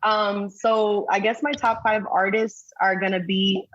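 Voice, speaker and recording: A woman guest chats casually on a podcast, relaxed and pausing often with fillers. Her voice sits at a middle pitch and comes through slightly dull and lo-fi. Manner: relaxed, hesitant with many fillers.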